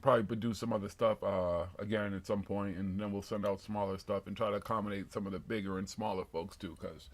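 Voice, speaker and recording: Man, 50, live-show co-host, rapid rambling profane banter. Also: on the low side, fairly even intonation. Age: 50s. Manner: loose, profane, rambling storytelling. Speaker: podcast co-host. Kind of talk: casual live-stream chat reading comments.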